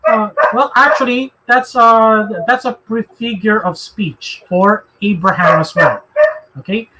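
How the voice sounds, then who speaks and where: High-voiced Filipino man, halting delivery. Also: very noisy. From Philippines. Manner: halting, repeats phrases as he goes. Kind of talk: live religious discussion, answering questions.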